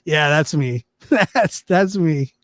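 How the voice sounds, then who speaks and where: A man's voice: on the high side, slow pace, fairly bright tone, no room sound. A man hosting a call-in show, laughing easily and restarting his sentences. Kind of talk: casual call-in chat.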